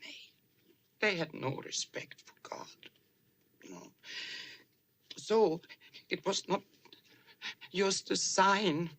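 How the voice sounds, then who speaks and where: Swedish missionary, Swedish accent, timid, halting, gravelly, slow. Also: female voice, mid-range voice. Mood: nervous, halting. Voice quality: halting, hesitant, many fillers. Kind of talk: film dialogue.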